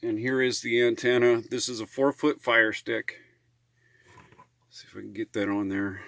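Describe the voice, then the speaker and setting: A man's voice: medium pitch, steady tone, moderate pace, creaky, fairly bright tone. An American man talking casually in a how-to vlog, in a rambling, hesitant, folksy way. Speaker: RV living vlogger.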